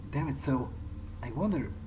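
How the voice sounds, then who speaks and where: A man's voice: medium pitch, lively intonation, moderate pace, background noise, lightly acted. A man voicing a curious, wary vampire in a video game playthrough, casually thinking aloud to himself. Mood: unsettled, puzzled. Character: curious, wary male vampire exploring a creepy mansion. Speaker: let's player playing in character. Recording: music behind the voice. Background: game soundtrack music.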